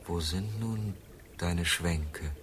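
An actor in a radio drama playing a faded stage star who mourns past glory in brooding, declamatory speech. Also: male voice, deep voice, drawn-out words. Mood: mournful. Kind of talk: theatrical radio drama monologue. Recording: roomy echo with faint noise.

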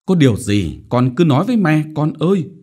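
A man voicing a doting, worried middle-aged mother who gently coaxes her daughter. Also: medium pitch, very animated, moderate pace, voice pushed up. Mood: coaxing worried.

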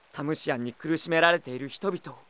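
An actor playing a gentle, idealistic and quietly resolute young prince in an earnest audio drama. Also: male voice, a little high, brisk, natural voice. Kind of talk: earnest anime-style audio drama acting.

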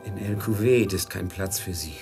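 An actor playing a dazed, fearful and fatalistic merchant master in a German radio play, halting and bewildered, with a gravelly, husky voice. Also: male voice, deep voice, music in the background. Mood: haunted.

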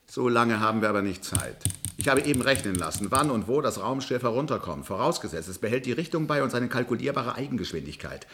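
An alarmed but exact male scientist in a space radio play, acted by a man. His voice is medium in pitch with lively swings. He reports facts urgently and precisely. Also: fast talker, a little hiss or hum, natural voice. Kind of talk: German science-fiction radio drama acting.

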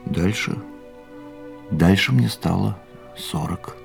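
Weary, low-voiced brooding war veteran. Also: male voice, gravelly, full character voice. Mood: haunted, reflective. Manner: measured, brooding, dramatic pauses. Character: weary war-veteran man of about forty, reflective and haunted. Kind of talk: radio drama acting, dialogue and monologue. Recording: studio radio play with music behind.

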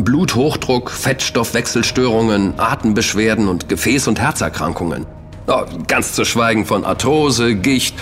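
An actor playing an excitable, impatient and knowledgeable investigator in a German audio drama, expressive and emphatic in delivery. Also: male voice, on the low side. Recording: reverberant hall with music underneath.

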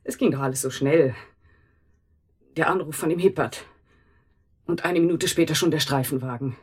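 An anxious housekeeper in a radio drama. Her low voice is very husky and breathy. She rambles on, wordy and emotional, correcting herself as she goes. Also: normal speed, darkish tone. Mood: agitated, accusing. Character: chatty, anxious housekeeper protective of her brother.

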